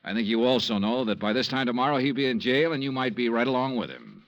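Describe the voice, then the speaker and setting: Hardboiled, blunt radio detective. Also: male voice, mid-range voice, animated, quick pace, a bit bright, muffled recording. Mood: hard, pressing. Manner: clipped, blunt, pressing. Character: hardboiled, cynical male insurance investigator. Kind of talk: 1950s radio drama acting and first-person narration. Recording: old radio drama with music behind.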